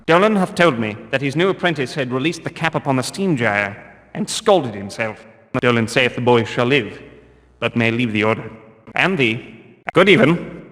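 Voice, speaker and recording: An actor playing a stern, zealous religious guard in a video game, declaiming pompously in archaic English. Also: male voice, mid-range voice, animated, moderate pace, a bit bright, big-room sound, lightly acted. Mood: calm, conversational.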